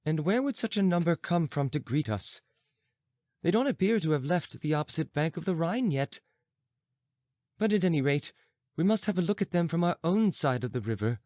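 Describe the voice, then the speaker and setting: Man playing an urgent, high-pitched watchful companion. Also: lively intonation, moderate pace, darkish tone, no echo, studio quality, lightly acted. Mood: urgent, curious. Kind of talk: audiobook character dialogue.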